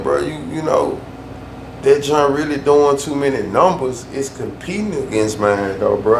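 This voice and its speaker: Male vlogger, gravelly voice, loose slangy rant, echoey room with faint hum. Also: medium pitch. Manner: loose, slangy, repeats himself for emphasis. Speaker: YouTube storyteller. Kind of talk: casual ranting vlog.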